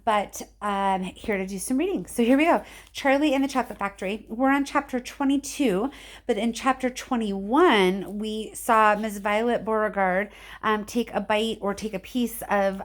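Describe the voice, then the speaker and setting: A woman reads a story to children in a medium-pitched voice. She mixes narration with friendly, casual asides, talking directly to her listeners as she records at home on a phone. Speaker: reader addressing children. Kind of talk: read-aloud storytelling with casual chat. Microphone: cell phone camera.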